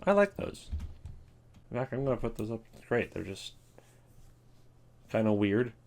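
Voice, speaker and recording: A man's voice: on the low side, big pitch swings, a little slow, vocal fry, a little mellow. A man giving offhand, opinionated casual commentary as a video creator ranking things.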